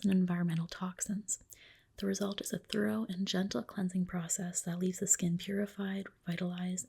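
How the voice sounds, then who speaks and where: A woman performs an ASMR roleplay as a skin specialist at a spa. Her voice is very breathy and mostly whispered, with a crackle of vocal fry. She explains each step gently and reassuringly. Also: fairly low pitch. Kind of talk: ASMR roleplay, personal attention.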